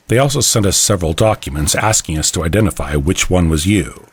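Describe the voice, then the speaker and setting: An actor in an audio drama playing a stern US army general, clipped, authoritative and suspicious. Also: male voice, deep voice, animated, a little fast, breathy edge, exaggerated voice.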